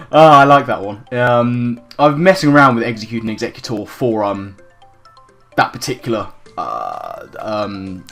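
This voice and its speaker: A chatty man you would hear hosting a homemade gaming video series, rambling happily through his puns over background music. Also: medium pitch. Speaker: host of a nickname series. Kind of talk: casual scripted-outline commentary to viewers. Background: music under the voice.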